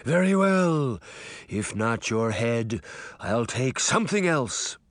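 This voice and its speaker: A man's voice: mid-range voice, sing-song, no room sound. Man putting on a moaning, menacing phantom horseman. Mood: threatening. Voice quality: moaning, croaking, drawn out. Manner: menacing, slow, bellowing. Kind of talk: audiobook character voice.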